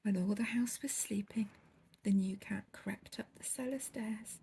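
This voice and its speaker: Female bedtime-story reader: unhurried, expressive, theatrical stresses, creaky edge, faint noise behind. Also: medium pitch. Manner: theatrical, drawn-out emphasis on key words. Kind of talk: expressive children's storybook reading.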